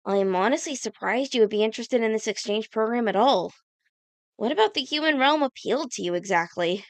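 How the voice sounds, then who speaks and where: A woman's voice: fairly high pitch, brisk, no room sound, natural voice. A woman playing an earnest, guilt-ridden teenage girl in a cartoon comic dub, speaking in a sincere, dramatic way. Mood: friendly, wistful.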